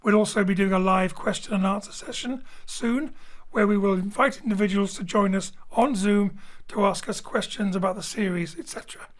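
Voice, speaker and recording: A man signs off a live-streamed audio drama, thanking the cast and crew. He sounds upbeat and grateful, rattling through announcements into a home studio mic. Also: high voice. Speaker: producer closing the show.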